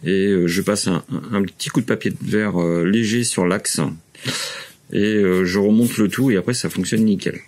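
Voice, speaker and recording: A man's voice: lowish voice, fairly even intonation, moderate pace, a little mellow. A French man in his forties casually explaining a repair step by step, hesitant with many fillers, in a large workshop. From France. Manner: hesitant, many "euh" and restarts. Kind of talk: casual how-to demonstration.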